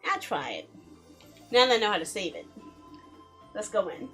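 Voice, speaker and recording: A woman streaming a game in a casual, expressive, chatty way, reading story text aloud and reacting to it. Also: medium pitch, normal speed. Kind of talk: casual live let's play, reading visual novel text aloud. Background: background music.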